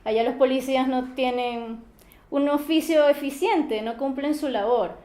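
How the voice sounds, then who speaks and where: A teenage woman from Maracaibo with a Venezuelan accent, speaking calmly and in a measured way as she is interviewed. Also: high-pitched, reverberant.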